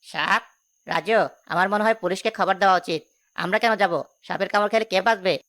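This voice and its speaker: Woman voicing a timid, blustering village strongman, quick-talking. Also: medium pitch, fairly bright tone, lightly acted. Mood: nervous. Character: timid village strongman who boasts of courage. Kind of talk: cartoon story dialogue.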